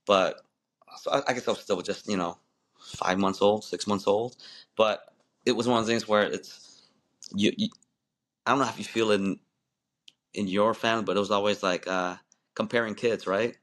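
A man's voice: lowish voice, steady tone, moderate pace, long pauses, fairly bright tone. A man in his forties, a guest on a podcast, telling his story in a loose, rambling way with many restarts and easy laughs. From born in Malaysia, raised in the United States. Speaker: wrestling referee, podcast guest. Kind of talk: casual interview storytelling.

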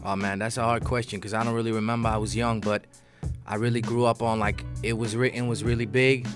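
A man in his thirties speaking in a relaxed, slangy manner as a guest on a studio radio show. His voice sits in a middle register. Hip hop music plays loudly underneath him throughout. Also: mid-range voice, fast talker. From grew up in Amsterdam, born in LA. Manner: laid-back, slangy, says "you know what I mean" a lot. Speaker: rapper guest. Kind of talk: casual studio radio interview. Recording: music bed playing behind.

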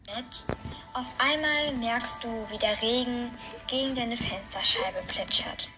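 A woman's voice: on the high side, takes it slowly, music in the background, low-quality recording. A woman narrating a guided relaxation story in a played-back recording.

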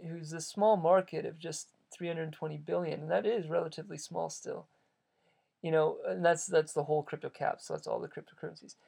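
Gravelly man rambling through a solo episode. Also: fairly high pitch, dark tone. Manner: rambling, restarts sentences often; reflective, philosophizing. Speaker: podcast host. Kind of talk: solo monologue, thinking aloud.

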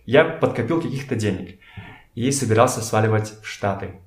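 A man's voice: fairly low pitch, lively intonation, brisk. A young man from Kazakhstan telling stories casually as a podcast guest, informal and slangy, thinking aloud. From Kokshetau, Kazakhstan. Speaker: podcast guest, photographer and art director. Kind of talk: casual conversational storytelling. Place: indoors in a home. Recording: echoey room.

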